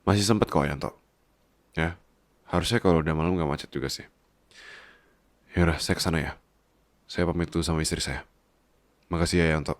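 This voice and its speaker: A man with a low-pitched voice performs ASMR roleplay. He speaks in a hushed, unhurried, caring way, as in a bedtime story. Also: steady tone, no room sound.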